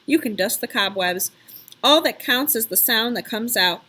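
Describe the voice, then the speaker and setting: A woman's voice: on the high side, animated, moderate pace, bright, forceful tone, husky, heavily acted. A woman voicing a seasoned, kindly pawn shop man from a storybook, with an emphatic, folksy, persuasive way of talking. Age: middle-aged or older.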